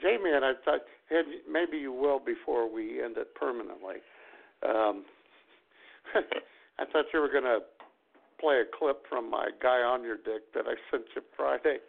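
A man's voice: mid-range voice, unhurried, gravelly. A man co-hosting a radio talk show, joking and teasing his co-hosts. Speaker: co-host. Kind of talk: casual radio banter. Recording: phone-like lo-fi line.

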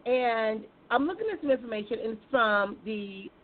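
High-voiced American woman hosting, phone-like sound. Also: lively intonation, normal speed. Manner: informal, folksy, conversational questioning. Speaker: talk show host taking calls. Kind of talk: casual, chatty live talk show. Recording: thin phone-like audio.

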